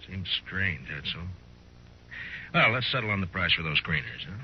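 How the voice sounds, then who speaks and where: An American actor playing a steady, taciturn frontier marshal in old western radio drama, speaking in an understated way. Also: male voice, normal speed, a little hiss or hum. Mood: probing, guarded. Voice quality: deep voice. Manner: terse, understated questioning. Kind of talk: 1950s western radio drama acting and narration. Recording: dull old radio recording, music behind.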